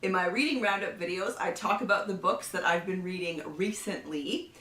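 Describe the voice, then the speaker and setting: A woman with a cold hosting a casual book review, chatty, often laughing and clearing her throat. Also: on the low side, normal speed, fairly bright tone. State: has a cold. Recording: roomy echo, somewhat far from the mic.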